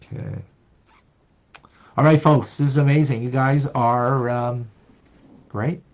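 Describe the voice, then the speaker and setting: A man's voice: medium pitch, fairly even intonation, takes it slowly, lo-fi sound. An older man, a rabbi, teaching an interactive online class, speaking reflectively with many restarts and fillers. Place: office with desk. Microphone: webcam on video call.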